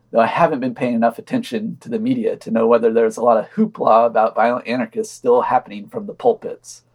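A man's voice: mid-range voice, husky. A man reading a scripted podcast news digest with wry commentary and dry, sardonic asides.

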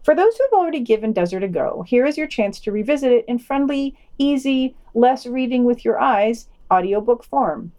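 A woman's voice: a little high, animated, moderate pace, hardly any pauses, mellow, dull audio. A woman co-hosting a podcast, reading a scripted news round-up and dropping in wry, sardonic asides. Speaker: podcast co-host.

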